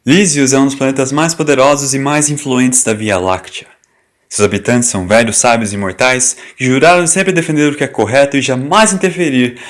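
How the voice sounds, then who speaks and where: A man acting as the solemn narrator of a science-fiction audio drama, measured and portentous. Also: mid-range voice, natural voice. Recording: voice over background music. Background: music.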